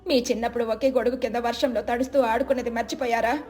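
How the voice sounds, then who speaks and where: A woman's voice: fairly high pitch, fairly even intonation, fast talker, darkish tone, close to an everyday voice. A woman voicing a tearful, reproachful older mother of a village family in a moral story. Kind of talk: moral story character dialogue.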